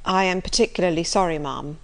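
A woman voicing a grave, guarded gentleman colonel in a storybook, speaking with formal politeness. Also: on the low side, brisk, natural voice. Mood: regretful. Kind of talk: acted storybook dialogue.

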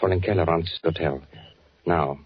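An actor playing a careful undercover agent posing as a German army officer in a 1940s radio drama, speaking in a measured, guarded way. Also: male voice, low-pitched, steady tone, slightly rough. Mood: cautious instructing. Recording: dull, noisy 1940s radio recording.

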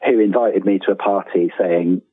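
A man's voice: deep voice, fairly even intonation, normal speed, breathy edge, dry recording. A middle-aged man talking casually as an interview guest, rambling, self-deprecating and enthusiastic, over a thin phone-like line.

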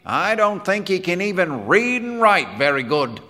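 A man voicing a gruff, hard-nosed, angry father in an audiobook, with blunt, folksy, forceful talk. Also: sits high, big pitch swings, unhurried, long reverb tail, voice pushed up, full character voice. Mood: wondering, grumbling. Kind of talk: audiobook character dialogue.

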